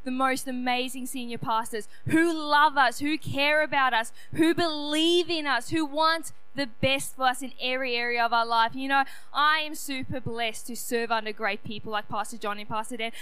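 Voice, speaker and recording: Young woman preacher from South Africa, booming and earnest, bright high voice. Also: smooth, poor audio. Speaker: youth church speaker. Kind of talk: personal testimony sermon. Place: church hall.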